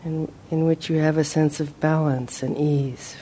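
A woman leading a guided meditation as a teacher, speaking gently and in a measured way with long pauses. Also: deep voice, level tone, a little hiss or hum.

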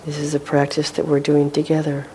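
A woman leading a guided meditation as a teacher, speaking gently and without hurry, with long pauses. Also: monotone. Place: meditation hall with a group. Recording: faint rumbling background noise.

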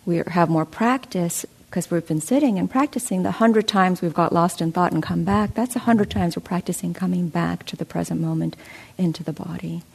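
A woman with a lowish, slightly gravelly voice guides a meditation class. She explains slowly and gently, pausing with soft fillers as she answers a student's question. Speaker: meditation teacher. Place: meditation center hall.

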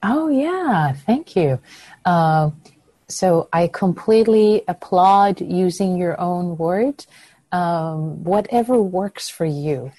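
A gentle woman teacher, a native Persian speaker, talks softly and warmly reassures her group over a video call. Her voice is mellow and dark in tone, recorded close and dry with no room sound. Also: fairly low pitch, expressive, slow pace, dry recording. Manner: soft, nurturing, frequent affirmations. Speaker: meditation teacher.

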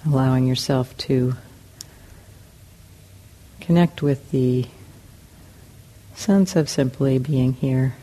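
A woman teaching a guided meditation in calm, measured short phrases with long pauses between them. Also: extremely low pitch. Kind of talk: guided meditation, slow spoken instructions.

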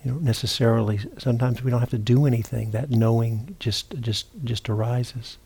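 A man teaching meditation, giving guided instruction in a halting, unhurried way with long pauses and repeated words. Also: medium pitch, steady tone, creaky, darkish tone, breathy edge. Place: meditation retreat center.

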